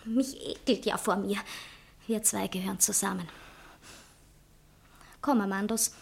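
A woman's voice: mid-range voice, fairly even intonation, measured pace, vocal fry, breathy edge. An actress playing a proud, impulsive society woman writer in a satirical radio play, theatrical, by turns coquettish and indignant. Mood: desperate resolve.

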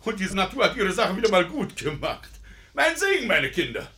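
An effusive, jovial landowner father from a comic radio play. The voice is high, husky and gravelly, with a theatrical delivery full of excitement. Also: moderate pace, heavily acted. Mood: delighted. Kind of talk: comedy radio play acting.

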